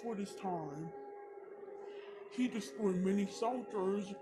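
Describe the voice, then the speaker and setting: Loud music plays over a woman reading a scripted emergency broadcast. Her delivery is slow, stilted and solemn, in a mid-range voice. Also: medium pitch. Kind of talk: scripted apocalyptic emergency announcement.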